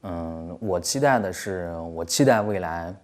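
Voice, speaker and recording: A young man from China answers questions in a very deep voice. He hesitates, restarts phrases and adds fillers as he thinks. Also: expressive, a little slow. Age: young adult. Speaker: comics artist, guest. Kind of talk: interview answers.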